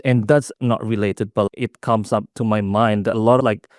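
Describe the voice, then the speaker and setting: A young man from Indonesia gives a conference talk in a fairly low voice. He speaks in a halting way, with restarts and fillers, and the sound is dry and close with no room echo. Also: fairly even intonation. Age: young adult. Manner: halting, with self-corrections and fillers. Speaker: student presenter. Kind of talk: conference presentation.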